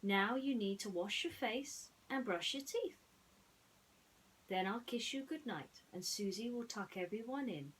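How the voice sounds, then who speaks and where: A woman playing a kind, gentle young storybook heroine with a sweet, motherly way of talking. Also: medium pitch, animated, unhurried, a little hiss or hum, lightly acted, rough recording. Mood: gentle, caring.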